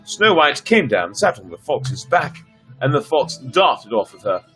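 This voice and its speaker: A man's voice: medium pitch, sing-song, a little slow, a bit bright, low-quality recording. A man reading stories aloud on a casual livestream, theatrical, with playful asides to his viewers. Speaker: storyteller streamer. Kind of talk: casual livestream storytelling, dramatic read-aloud. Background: background music.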